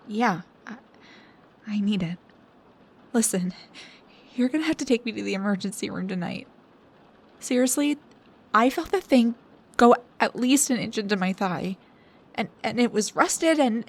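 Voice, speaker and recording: A young woman playing a snarky, high-strung research assistant in an intimate audio roleplay, with a halting, stammering, dramatic delivery. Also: breathy edge, exaggerated voice. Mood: panicked, insistent. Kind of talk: intimate one-sided audio roleplay acting.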